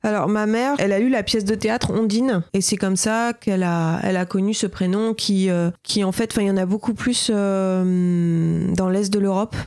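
A woman's voice: medium pitch, fairly even intonation, moderate pace, darkish tone, no echo, clean studio sound. Hesitant middle-aged woman in an interview. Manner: hesitant, full of fillers and restarts. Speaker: fisher and outdoor lecturer. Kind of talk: radio interview answers.

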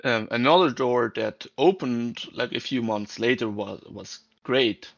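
A male co-host speaks very slowly, and his voice has a gravelly fry to it as he eases into his questions. He comes through a remote call that sounds muffled and lo-fi. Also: mid-range voice. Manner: slow, halting questions. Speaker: podcast co-host. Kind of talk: casual interview chat.

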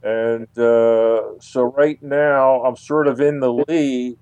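A man answering as an author guest on a radio interview, halting and deliberate in his phrasing. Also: mid-range voice, fairly even intonation, darkish tone, smooth, dull audio.

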